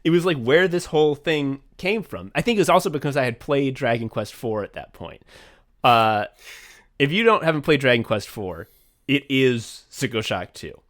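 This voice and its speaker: A young man co-hosting a podcast in casual chat, rambling and swearing freely, getting excited and laughing as he talks. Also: medium pitch, moderate pace. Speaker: podcast co-host and writer.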